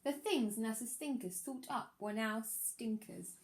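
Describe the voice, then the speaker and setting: A woman reading a children's picture book aloud like a primary teacher, expressive, with dramatic emphasis on the rhymes. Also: a little high, measured pace.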